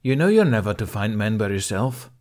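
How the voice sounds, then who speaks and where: A man voicing a gruff older Viking woman in an audiobook, lecturing in a stern, exasperated way. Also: lowish voice, very animated, a little fast, darkish tone. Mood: exasperated, scolding.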